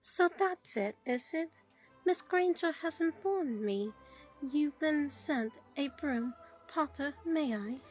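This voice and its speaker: A woman's voice: sits high, lively intonation, slow, darkish tone, faint music behind. A woman acting a stern older schoolteacher in an audio drama, clipped, formal and no-nonsense.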